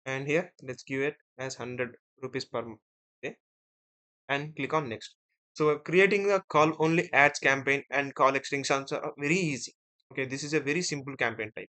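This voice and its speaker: A man teaching as an instructor in a screen-share software tutorial, explaining step by step and often checking in with short tag words. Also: medium pitch, moderate pace. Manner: step-by-step, often ending phrases with "right?" and "okay".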